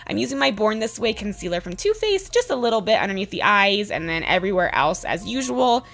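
A woman in her twenties with a slightly hoarse voice, giving a casual, chatty makeup tutorial voice-over. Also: medium pitch, sing-song, brisk, a bit bright. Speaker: beauty vlogger, host. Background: background music.

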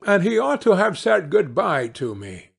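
Man as a worried storybook father, lively pitch. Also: measured pace, vocal fry, breathy edge, exaggerated voice.

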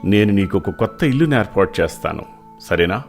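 A man playing a wealthy, kindly gentleman in an animated moral story, speaking politely and with measured care. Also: on the low side, soft background music. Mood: reassuring.

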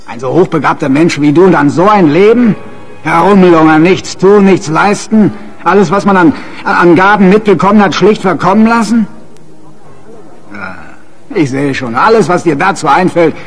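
A man's voice: on the high side, lightly acted. An actor playing a contemptuous older father in a German radio drama, scolding in a sarcastic, pompous way with needling tag questions. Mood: bitter reproach. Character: contemptuous older father berating his idle student son.

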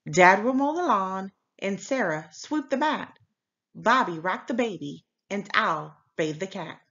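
A woman's voice: medium pitch, lively intonation, measured pace, a bit bright, muffled recording. A woman reading as a frazzled, bossy parent in a children's storybook, emphatic and commanding. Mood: bossy, hurried. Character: frazzled, bossy parent rallying the family to clean. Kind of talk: children's storybook read-aloud.

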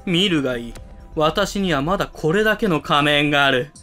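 A grandiose school club boss in an amateur audio drama. His delivery is pompous and villainous, swinging widely in pitch. The tone is bright and forceful, with faint music behind. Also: lively intonation, normal speed. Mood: gloating, grand. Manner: grandiose, theatrical. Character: pompous villainous male school club president obsessed with masks.

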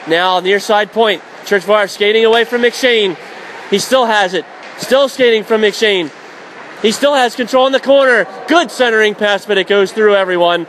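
A man with a high voice calls live hockey play-by-play for radio, tracking every pass and shot and lifting into shouts when the puck nears the net. A faint hiss of noise sits under his voice. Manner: rapid, rising to shouts on shots and saves. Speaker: play-by-play announcer.

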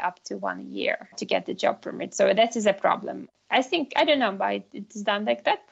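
A woman's voice: medium pitch, vocal fry, highs cut off. A woman from Ukraine with a Ukrainian accent, answering conversationally as an interview guest and often repeating words for emphasis.

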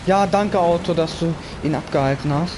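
A man's voice: on the high side, normal speed, noisy background. A young man hosting a game stream, chatting casually with friends in slangy, sweary language. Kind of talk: casual gaming chat with friends. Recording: game music behind the voice.

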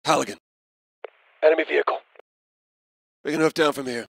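Creaky-voiced American Marine. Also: male voice, on the high side, steady tone, a little fast, no room sound. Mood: businesslike. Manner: laconic, wry, profane. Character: gruff, wry American Marine special-operations soldier. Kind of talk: video game battle chatter.

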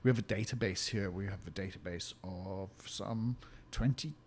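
A man from Wales with a Welsh accent, a location consultant answering interview questions in clear, detailed explanations. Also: sits low, moderate pace, slight background noise. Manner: articulate, explains at length.

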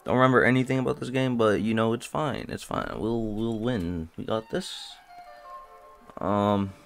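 A man's voice: fairly low pitch, moderate pace, creaky, soft background music. A man playing a horror game, commentating casually and nervously, talking to himself. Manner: jumpy, nervous, talks to himself. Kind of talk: casual let's play commentary.

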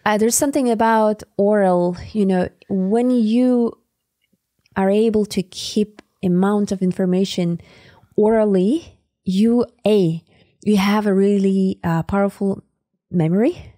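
A woman's voice: medium pitch, unhurried, dark tone, no room sound, studio quality. A woman from the Sakha Republic, a native Sakha speaker with a Sakha accent, talking as a podcast guest and often searching for words with fillers. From Sakha Republic, Siberia. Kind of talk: conversational interview.

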